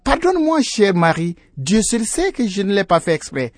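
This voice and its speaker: A clever female sheep from a folktale, voiced by a man. The voice is lifted high, in a dry sound. She is meek and deferential to her husband. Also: big pitch swings, normal speed, no echo. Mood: pleading.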